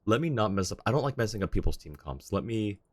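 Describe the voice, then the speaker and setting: A man's voice: on the low side, big pitch swings, quick pace, slightly rough. A man chatting casually as a game streamer, thinking aloud in an informal way. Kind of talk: casual livestream chat and game commentary.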